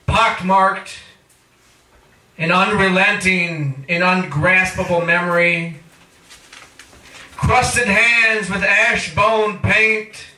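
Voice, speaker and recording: A man performs spoken-word poetry in a high, piercingly bright voice. He takes his time, stretching and chanting phrases dramatically. The recording is distant, made in an echoey room. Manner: dramatic chanting delivery with drawn-out phrases and cries. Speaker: poet reading own work. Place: indoor live reading at a cafe.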